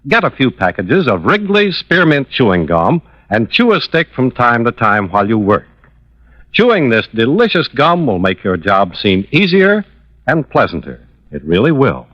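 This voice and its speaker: An American man announcing on 1950s radio, giving a friendly, reassuring sales pitch. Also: big pitch swings, moderate pace, dry recording. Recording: dry, muffled old radio recording.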